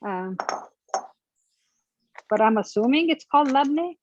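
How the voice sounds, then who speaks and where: A woman's voice: sits high, animated, a little slow, lo-fi sound, noisy background. A young woman with an Afghan accent, chatting hesitantly and laughing as she walks through cooking a family dish. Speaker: guest cook. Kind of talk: casual cooking demonstration.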